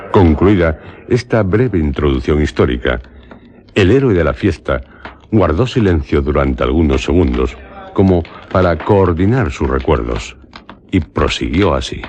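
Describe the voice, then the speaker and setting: A man with an accent from Spain playing an educated traveller who narrates a gothic tale in a solemn, measured, literary radio drama manner. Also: a bass voice, expressive, darkish tone, breathy edge, heavily acted. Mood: solemn narration. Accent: Spanish from Spain.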